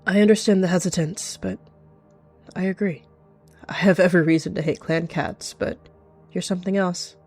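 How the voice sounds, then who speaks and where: Scheming rogue cat played by a roleplayer, guarded and measured, animal roleplay over music. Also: medium pitch, steady tone, vocal fry, darkish tone. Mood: agreeable. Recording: music behind the voice.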